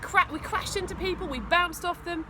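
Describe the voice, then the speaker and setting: A woman reading a children's story aloud with expressive, dramatic emphasis. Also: very high-pitched, normal speed, background noise. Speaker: school teaching assistant reading aloud.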